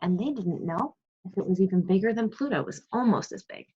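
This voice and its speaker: A woman reads aloud to a second grade class in an expressive, teacherly way. Her voice is a little husky at a medium pitch. The audio is dull and lo-fi, like an online class. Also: fairly quick. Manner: expressive storybook reading, praising and prompting pupils. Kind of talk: read-aloud lesson to young children. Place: remote video class.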